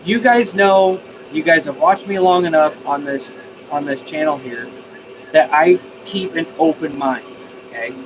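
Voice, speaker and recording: Higher-voiced man livestreaming over background noise. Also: unhurried. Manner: hesitant, repeats and restarts phrases as he thinks aloud. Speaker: live streamer, radio hobbyist. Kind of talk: casual live stream chat, reading viewer comments.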